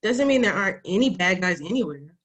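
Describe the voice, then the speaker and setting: A woman's voice: medium pitch, expressive, a little fast, fairly bright tone, dull audio, close to an everyday voice. Woman as a cheeky, eager young girl. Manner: cheeky, insistent. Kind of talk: screenplay table read.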